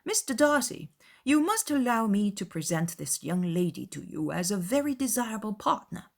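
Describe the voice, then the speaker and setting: Casting: female reader as effusive old-world gentleman, courtly and gushing, very animated pitch, some creak, storybook drama. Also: moderate pace. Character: effusive, gallant older gentleman, Sir William.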